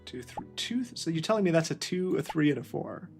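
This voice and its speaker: Gravelly man thinking aloud while gaming. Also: fairly high pitch, expressive, brisk, a little mellow, soft background music. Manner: musing, thinking aloud, often trailing off. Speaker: video game player. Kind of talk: gameplay commentary.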